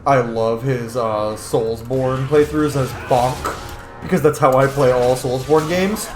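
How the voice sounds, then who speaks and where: A man streams a video game with casual, rambling commentary. His chatty talk sits at an average male pitch. The room adds noticeable echo, and music plays clearly behind him. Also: a little slow.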